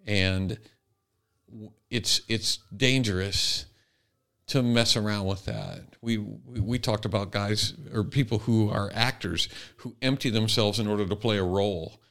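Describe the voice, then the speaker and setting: A middle-aged or older man chatting casually as a podcast co-host, halting and restarting his phrases as he goes. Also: lowish voice, vocal fry, fairly bright tone. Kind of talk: casual conversational podcast talk.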